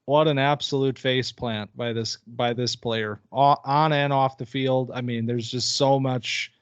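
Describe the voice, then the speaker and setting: A man's voice: medium pitch, fairly even intonation, a little slow, clean tone, no room sound, lo-fi sound. A man co-hosting a casual sports podcast, chatty and upbeat, often restarting his phrases. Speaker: podcast co-host.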